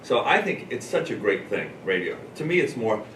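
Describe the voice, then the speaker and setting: A low-pitched man heard from a distance in a noisy room. He is a drama teacher talking informally, explaining things and thinking aloud as he goes. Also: big pitch swings, moderate pace, a bit bright. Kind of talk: informal talk to an audience about teaching. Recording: roomy, noisy, distant microphone.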